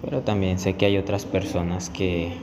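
A man's voice: deep voice, flat delivery, normal speed. A man giving step-by-step instructional narration as a barber teaching a tutorial. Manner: explanatory, step-by-step. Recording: echoey room with clear background noise.

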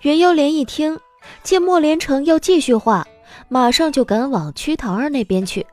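A woman's voice: sits high, moderate pace. A woman narrator reading a story in a dramatic audiobook style. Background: soft background music.

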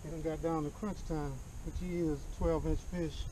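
A man's voice: on the high side, little pitch movement, moderate pace, background noise. A man chatting to the camera and to the fish from a small boat on a lake, picked up by an action camera. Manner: chatty, talks to fish and viewers. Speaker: fishing vlogger. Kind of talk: casual talk to camera while fishing.

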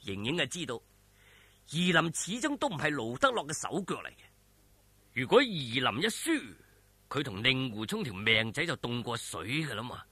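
A man's voice: medium pitch, big pitch swings, moderate pace, sharp tone, breathy edge, dry recording. A man with a Hong Kong Cantonese accent telling a martial arts story in a theatrical, colloquial, suspenseful style.

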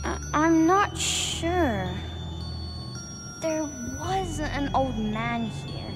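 A woman playing a childlike spirit girl in a video game, naive and timid, speaking haltingly and playfully. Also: high-pitched, lively intonation, over music, exaggerated voice. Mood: unsure.

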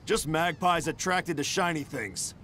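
An actor playing a wary, grumbling pirate sidekick with a dry, skeptical manner in a video game. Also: male voice, fairly high pitch, measured pace, pushed and bright, slight background noise. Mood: dry, weary. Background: music.

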